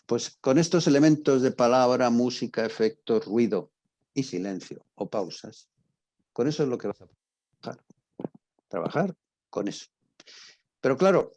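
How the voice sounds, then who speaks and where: A middle-aged man with an accent from Spain lecturing informally, explaining with examples, repeating phrases and pausing to stress key words. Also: mid-range voice, darkish tone, no room sound. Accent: Spanish from Spain. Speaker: university lecturer in radio drama.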